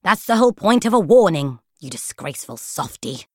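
A woman's voice: a bass voice, very animated, measured pace, vocal fry, a bit bright, husky, no echo, voice pushed down, full character voice. A venomous talking book of poisons from a children's storybook, acted by a woman. She hisses and bites out her words with insistent, emphatic menace. The sound is close and dry. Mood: scornful. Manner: hissing, biting, emphatic. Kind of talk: children's audiobook character voice.

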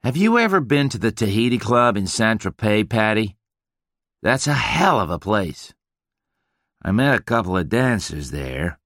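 A man voicing a brash, boastful veteran, loud and amazed at himself. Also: lowish voice, sing-song, a little slow, dry recording, studio quality, full character voice. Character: brash, boastful American male Vietnam veteran.

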